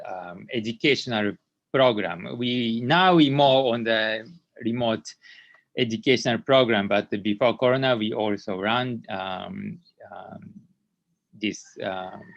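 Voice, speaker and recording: Halting Japanese-accented man, dull lo-fi audio. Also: on the low side, gravelly, no room sound. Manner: halting, hesitant delivery with frequent long fillers. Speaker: company founder and CEO giving a presentation. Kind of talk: workshop slide presentation. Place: online video meeting. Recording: dull, lo-fi online meeting audio.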